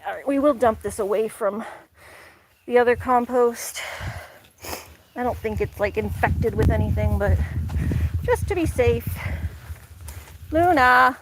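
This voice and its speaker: A woman's voice: a little high, measured pace, pauses often, a little hiss or hum, low-quality recording. A young woman chatting casually to camera while doing farm chores outdoors, laughing often and cooing at her animals. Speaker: farm vlogger.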